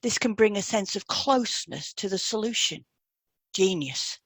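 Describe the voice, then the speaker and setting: A woman reads scripted, instructional material as a podcast co-host. Her voice sits at an average pitch for a woman. The recording is very dry, with little room sound. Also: animated, normal speed, a bit bright, slightly rough, no echo.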